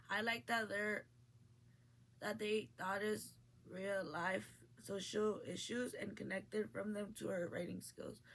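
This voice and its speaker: Earnest teenage girl with a gravelly edge. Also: mid-range voice, slight background noise. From Mexico. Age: late teens. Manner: earnest, reads with small restarts. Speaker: graduating high school student. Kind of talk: reading a prepared personal speech.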